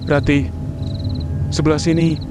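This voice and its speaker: Cowok ngisi suara arwah suami manggil2, lambat banget, nada datar. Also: male voice, on the high side, noisy background, lightly acted. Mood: eerie. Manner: slow, flat, eerie calling. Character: ghostly young husband calling from the graves.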